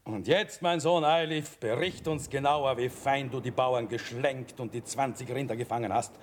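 A man's voice: mid-range voice, normal speed, a little hiss or hum, lightly acted. Pompous blustering army commander played by a man. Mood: jovial, pompous. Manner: grandiose, bellowing declamation. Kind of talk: theatrical radio play acting.

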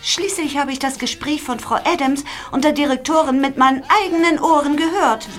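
A woman's voice: high-pitched, steady tone, brisk, a bit bright, faint music behind. An actress playing a defensive, indignant girl accused of gossip in a German audio drama.

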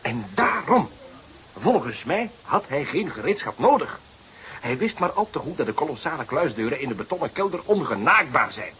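A man's voice: on the high side, sing-song, moderate pace, bright, forceful tone, slight background noise. A man acting an eager, exasperated young police detective in a radio play, theatrical, sarcastic and emphatic. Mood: frustrated.

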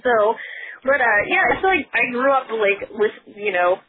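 A woman's voice: a little high, lively intonation, normal speed, background noise. Chatty laughing woman on tinny audio. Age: 30s. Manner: chatty, laughs often, many fillers. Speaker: guest, author. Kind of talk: casual conversation. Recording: tinny phone-like sound.

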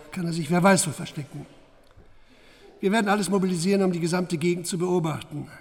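A man's voice: fairly high pitch, darkish tone, natural voice. An actor playing a determined police squad leader briefing his men with urgent, commanding delivery in a radio crime drama. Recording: long echoing hall reverb.

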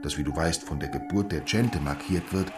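A man playing a learned, patient and pedantic teacher in a German radio drama, lecturing with deliberate, professorial emphasis. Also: extremely low pitch, music in the background. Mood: earnest lecturing.

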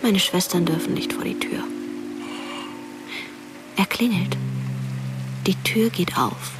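A woman playing a wistful, detached teenage ghost, narrating a radio play softly and in a hushed manner. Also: on the low side, slow, loud music behind the voice.

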